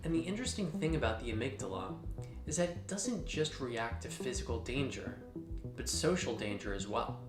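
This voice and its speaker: A man giving a scripted explainer in a measured, instructional manner, speaking directly to the listener. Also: medium pitch, reverberant. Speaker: host of a mental health explainer channel. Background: background music.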